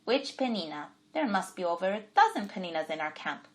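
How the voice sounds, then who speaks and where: A woman's voice: medium pitch, sing-song, fairly quick, natural voice. Woman playing a brusque older woman, impatient, snappish.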